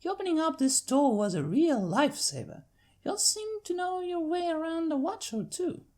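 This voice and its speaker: A woman's voice: high voice, very animated, normal speed, darkish tone, pitched above the performer's own voice. A woman voicing a plainspoken farm-girl mare, a big sister with a folksy Southern US drawl, in a story reading. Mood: grateful, wry.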